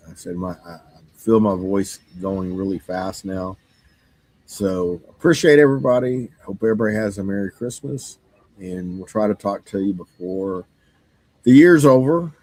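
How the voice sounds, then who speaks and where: A man who runs a game store, talking casually with a hoarse voice he says he is losing, halting and full of fillers. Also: lowish voice, lively intonation, darkish tone, no room sound. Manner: halting, many fillers and restarts. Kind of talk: casual monologue.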